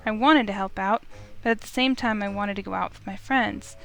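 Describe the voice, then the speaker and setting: A woman's voice: medium pitch, brisk, creaky. A woman reading a visual novel aloud in character as the heroine, with music behind her. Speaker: game streamer reading the heroine.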